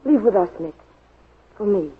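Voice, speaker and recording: A woman's voice: mid-range voice, expressive, normal speed, husky. An actress playing a devoted, urgent young woman in a wartime thriller, with earnest, dramatic 1940s radio delivery. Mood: tender, pleading. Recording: muffled, phone-like 1940s radio recording.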